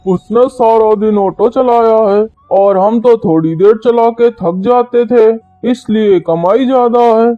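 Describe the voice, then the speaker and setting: A greedy crow boss from a kids' cartoon, played by a man, pitched high for a male. He gives orders slowly and bossily. The recording is dry and a little dull. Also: no room sound. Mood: bossy. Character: greedy, wicked crow auto-rickshaw owner, male uncle. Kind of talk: Hindi children's cartoon dialogue.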